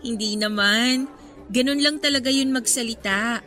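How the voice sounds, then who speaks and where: Good-natured student girl, high pitch, bright tone, Filipino accent, playful scolding, radio drama, background music. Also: a little slow. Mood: gently defensive. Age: young adult. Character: good-natured, forgiving young female college student. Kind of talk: Tagalog radio drama acting.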